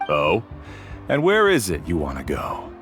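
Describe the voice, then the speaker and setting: An actor playing a proud, aloof cave guide who talks down to clients in a video game. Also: male voice, deep voice, huge pitch swings, unhurried, fairly bright tone, faint music behind, exaggerated voice. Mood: haughty. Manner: condescending, dismissive. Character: arrogant, aloof star cave guide, adult man.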